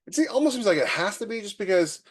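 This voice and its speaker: A man's voice: high voice, big pitch swings, quick pace. A man co-hosting a podcast in casual conversation, thinking aloud and talking informally about technology. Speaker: podcast co-host. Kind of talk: casual conversational tech chat.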